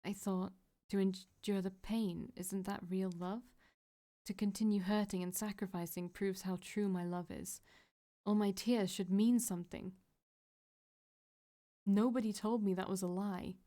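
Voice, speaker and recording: A woman's voice: mid-range voice, steady tone, unhurried, dark tone, natural voice. A woman voicing a desperate, broken young woman who pleads through tears. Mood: tearful pleading. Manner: pleading, tearful.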